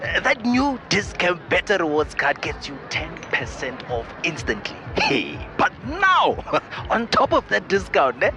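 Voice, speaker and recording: A man delivers an exuberant radio ad in a high voice. His pitch swoops wildly as he exclaims and laughs, with prominent music behind him. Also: unhurried, few pauses. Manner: excitable, punctuated with laughter and exclamations. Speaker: advertisement voice.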